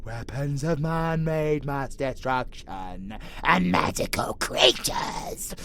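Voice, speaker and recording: A man playing a sadistic, gloating vampire monster in an audio roleplay, with a gravelly, menacing, foul-mouthed delivery that savors every word. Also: a little high, lively intonation, takes it slowly, a bit bright, full character voice. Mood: gleeful, savoring. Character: sadistic, gloating male vampire monster who relishes slaughter.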